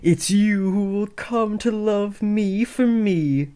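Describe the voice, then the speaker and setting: A man's voice: sits high, takes it slowly, dark tone, clear, resonant tone. A young man playing an awkward, lovestruck teenage would-be supervillain in a comedic audio roleplay, switching between theatrical menace and hesitant rambling. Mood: grandiose, smitten. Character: awkward lovestruck teenage boy, aspiring supervillain, eager, insecure. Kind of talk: comedic roleplay audio drama addressed to the listener.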